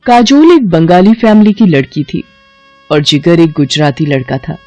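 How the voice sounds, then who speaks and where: A woman's voice: on the low side, very animated, moderate pace, darkish tone, dry recording, soft background music. A woman narrating a scripted story as its storyteller.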